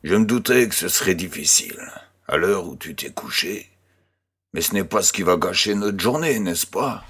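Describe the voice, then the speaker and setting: Man playing a low-voiced, affectionate old farmer grandfather. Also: lively intonation, moderate pace, fairly bright tone, slightly rough, heavily acted. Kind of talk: French-language audio drama acting.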